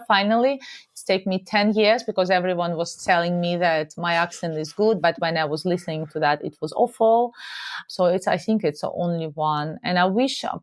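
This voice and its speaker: A woman from Russia speaking with a Russian accent, a guest talking in a halting but lively way in a casual interview. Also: fairly low pitch.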